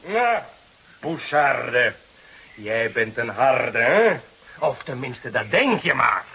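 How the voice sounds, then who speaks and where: An actor playing an eager, cocky younger police detective in a radio drama, with an excitable, needling manner. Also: male voice, mid-range voice, takes it slowly, a little hiss or hum, exaggerated voice. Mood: menacing.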